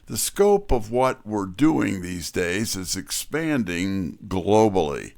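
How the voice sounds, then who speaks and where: Guy playing a wisecracking detective sidekick, lowish voice, takes his time, dry studio sound, scripted mystery. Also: no room sound, heavily acted. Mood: sardonic. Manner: wry, folksy, unhurried. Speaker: narrator and detective's assistant. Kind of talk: scripted first-person mystery narration and dialogue.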